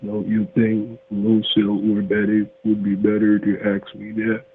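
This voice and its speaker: A man's voice: lowish voice, monotone, unhurried. A man on a phone call, blunt and pushy, laughing off questions and repeating his demands. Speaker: phone scammer. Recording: tinny phone line with faint hiss.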